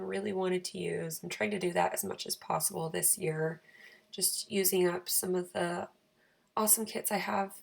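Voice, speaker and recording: Raspy, creaky-voiced woman vlogger. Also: on the low side. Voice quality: raspy, croaky. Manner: chatty, self-deprecating. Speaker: planner channel host. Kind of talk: casual craft voice-over.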